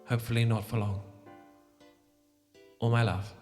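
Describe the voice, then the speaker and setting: A man with a British accent voicing a devoted young English vampire gentleman who speaks softly, earnestly and formally. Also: medium pitch, flat delivery, moderate pace, natural voice. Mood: tender farewell. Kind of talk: romantic ASMR roleplay, reading a farewell letter. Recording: long reverb with guitar music behind.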